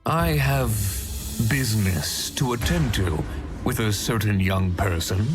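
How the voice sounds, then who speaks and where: An actor playing a sinister, mock-polite gentleman villain in a video game, with theatrical, deliberate delivery. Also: on the low side, music in the background, close to an everyday voice. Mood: smug menace. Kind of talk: dramatic video game acting.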